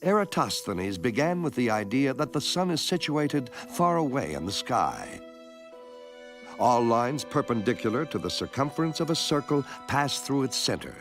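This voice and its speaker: A man giving a measured, scripted narration for an educational film, explaining things clearly step by step. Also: mid-range voice, very animated, faint music behind. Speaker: documentary narrator.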